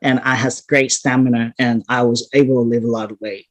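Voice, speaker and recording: A woman's voice: rumbling low, fairly even intonation, brisk, no echo, highs cut off. Blunt woman with a dry, close sound. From Hong Kong. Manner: blunt, straightforward. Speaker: interview guest, competitive bodybuilder. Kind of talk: casual interview talk.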